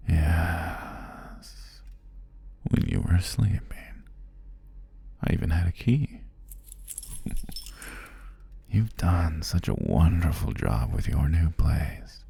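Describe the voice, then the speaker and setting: Man playing a very husky, low, menacing captor. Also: mellow, full character voice. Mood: creepy, smug. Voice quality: husky, breathy, often near whisper. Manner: slow, condescending, taunting murmur. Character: cruel possessive male stalker captor, condescending and menacing. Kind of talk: intimate ASMR roleplay.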